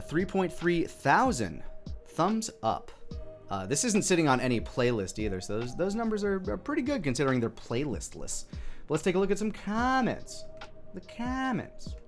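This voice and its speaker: A man giving casual, off-the-cuff commentary as a video creator, rambling and thinking aloud as he goes. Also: on the high side.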